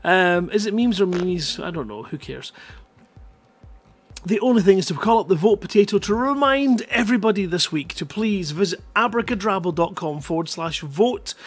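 A man's voice: high voice, lively intonation, normal speed. A middle-aged man hosting a live streamed show in a casual, jokey, rambling way, speaking into a headset. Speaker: host of a live streamed writing-competition show. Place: indoors at a computer, live stream.

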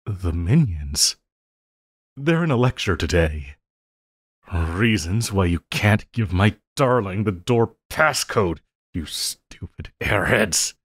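Man acting a deep, slow, lovesick villain. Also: lively intonation, breathy edge, no room sound, clean studio sound, full character voice. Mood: awkward, coaxing. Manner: halting, pleading, soft-spoken endearments. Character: lovesick, possessive yandere supervillain pleading with his beloved hero. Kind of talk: intimate audio roleplay acting.

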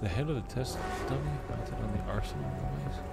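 A man's voice: fairly low pitch, very animated, a little fast, vocal fry, over loud music. A man casually commenting on a game as a streamer, loose and rambling with many fillers.

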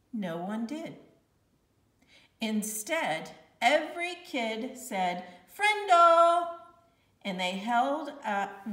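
A woman reads a children's book aloud at an unhurried pace, with very lively pitch. She phrases deliberately and leans on key words. The sound is roomy. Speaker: narrator reading a children's novel aloud. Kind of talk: read-aloud storytelling. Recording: roomy, slightly distant home recording.

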